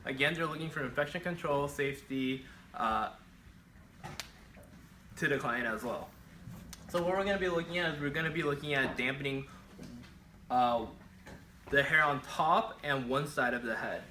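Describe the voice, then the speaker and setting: Guy teaching a hands-on demo step by step, bright forceful voice, bit of noise behind him. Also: mid-range voice, normal speed, long pauses. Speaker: barbering instructor. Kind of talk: instructional demonstration, explaining step by step.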